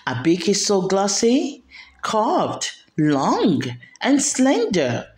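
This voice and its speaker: Woman storyteller, children's read-aloud, highly varied pitch, unhurried deliberate delivery, roomy sound. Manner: expressive, deliberate enunciation for young listeners. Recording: roomy echo, background music. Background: music.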